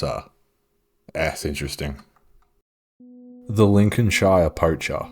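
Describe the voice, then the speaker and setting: A man with a very low voice narrates an explainer casually, with faint music behind him. His delivery is informal and offhand, like an unpolished video host talking to viewers. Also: darkish tone.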